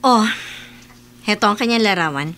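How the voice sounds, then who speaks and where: A woman's voice: mid-range voice, lively intonation, moderate pace, bright, forceful tone, soft background music. A woman playing a young, scheming, smitten con artist in a radio soap, talking in a coaxing way. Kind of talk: Philippine radio soap opera acting.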